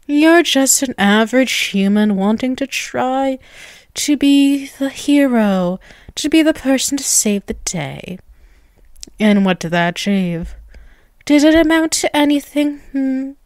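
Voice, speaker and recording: A woman's voice: sits high, animated, clean tone, dry recording, clean studio sound, exaggerated voice. A woman voicing a dominant, ageless vampiress in an ASMR roleplay, teasing and condescending, drawing out her words. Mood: taunting, commanding. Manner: slow, condescending, teasing, with drawn-out words and chuckles. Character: dominant, taunting ageless vampiress toying with a captive human.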